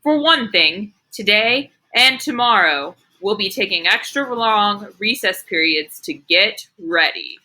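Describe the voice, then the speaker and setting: A woman voicing a calm, encouraging male first-grade teacher from a storybook, with a measured, instructive manner. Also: medium pitch, full character voice, rough recording. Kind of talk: children's storybook read-aloud.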